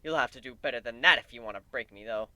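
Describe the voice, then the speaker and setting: A man's voice: mid-range voice, quick pace, pushed and bright, no echo, pitched below the performer's own voice. A man voicing a cocky, sarcastic griffin pirate captain with brash, flippant swagger in a fantasy story reading. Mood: dry, defiant.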